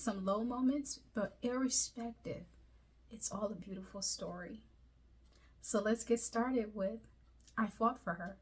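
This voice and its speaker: A woman from Liberia who was raised in the United States, sharing a personal story with viewers in an earnest, deliberate, articulate way, with a husky, breathy voice. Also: on the high side. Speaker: host of a personal video series.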